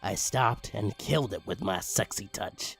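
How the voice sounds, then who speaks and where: A man's voice: lowish voice, measured pace, creaky, a bit bright, breathy edge, faint music behind. A man giving a comedic, mock-dramatic creepypasta narration with crude, swooping emphasis. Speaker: narrator, online story reader.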